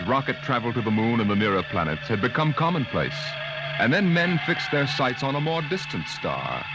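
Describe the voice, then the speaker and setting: A man playing a solemn science fiction announcer, narrating gravely with theatrical pauses on an old 1950s radio drama recording. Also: medium pitch, lightly acted. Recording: old muffled radio recording, loud music.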